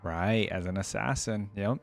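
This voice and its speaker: A man's voice: fairly low pitch, a little slow, creaky. A man hosting a casual reaction video, commenting on game voice lines in a musing way and repeating phrases to himself. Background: music.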